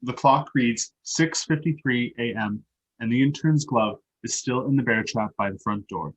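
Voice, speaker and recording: A man with a slightly husky voice reading stage directions as scripted narration for a play. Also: medium pitch, normal speed, highs cut off. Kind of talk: scripted narration at a live table read.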